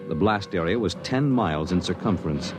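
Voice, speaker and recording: A man's voice: low voice, lively intonation, brisk, over music. A man announcing and narrating formally and theatrically in the style of 1950s radio drama. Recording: vintage radio broadcast.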